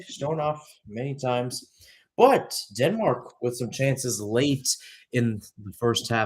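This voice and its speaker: Young man, animated sports commentator. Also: mid-range voice, husky. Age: young adult. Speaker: play-by-play commentator.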